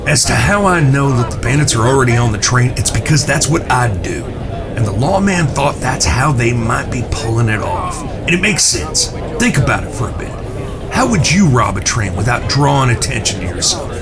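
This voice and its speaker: A man playing an exasperated, animated outlaw big brother. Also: medium pitch, moderate pace, over music. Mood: impatient, instructing. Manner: foul-mouthed, impatient, explanatory. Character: exasperated, bossy eldest outlaw brother in the Old West. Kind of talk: audio drama acting.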